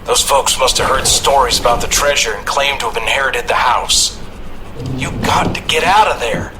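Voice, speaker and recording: A man's voice: mid-range voice, moderate pace, background noise, natural voice. An actor playing a helpful, casual small-town man from the American South in a video game. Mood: urgent, warning. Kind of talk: video game dialogue acting.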